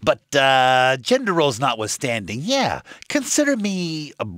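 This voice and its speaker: An actor playing a self-important car dealership manager in a comedic video game, full of chummy, smarmy corporate patter. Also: male voice, medium pitch, huge pitch swings, a little slow, a bit bright, dry recording, studio quality. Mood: smug, chummy. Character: smarmy, self-important, jargon-spouting male office manager.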